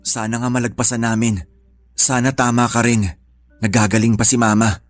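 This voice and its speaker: An actor playing a worried, devoted young son in a radio drama, with an earnest, troubled way of talking. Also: male voice, medium pitch, little pitch movement, normal speed, no room sound, natural voice. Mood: downcast.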